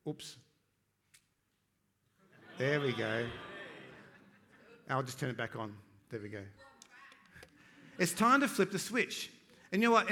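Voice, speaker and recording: A man preaching a sermon, swinging between loud, emphatic declarations and quiet, joking asides to the congregation, slowing down to stress key phrases. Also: high-pitched. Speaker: pastor.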